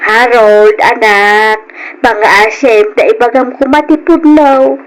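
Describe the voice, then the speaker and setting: A Filipino woman playing a worried, gentle, pleading mother in an old radio drama. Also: on the high side, fairly even intonation, slow pace, poor audio.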